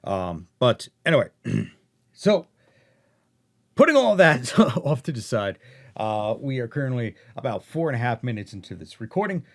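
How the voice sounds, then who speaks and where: A man giving a rambling, casual update to viewers, halting and often rephrasing himself as host and narrator. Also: medium pitch, sing-song. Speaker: audiobook narrator and channel host.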